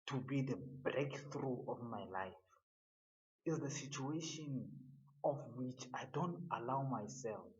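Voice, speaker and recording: A man giving an earnest motivational monologue, halting and often repeating his words. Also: mid-range voice, highs cut off.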